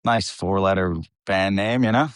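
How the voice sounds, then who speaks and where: A man's voice: low voice, moderate pace, clear, resonant tone, no echo, studio quality. An American man who sings in a metal band, chatting casually and bluntly as an interview guest, telling anecdotes in slangy, sweary language. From eastern Pennsylvania, United States. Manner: blunt, profane, informal, slangy. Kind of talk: casual chat, anecdotal storytelling.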